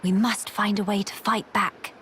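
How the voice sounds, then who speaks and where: An actress playing a noble, earnest young princess in a video game, with formal, commanding phrasing. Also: female voice, medium pitch, level tone, moderate pace, fairly bright tone, slight background noise, natural voice. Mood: grave, composed.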